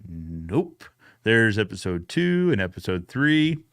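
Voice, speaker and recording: A man's voice: lowish voice, very animated, slow, fairly bright tone. A man hosting a casual live recap show, talking in an agreeable, conversational way and often checking in with "right?". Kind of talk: casual live podcast chat.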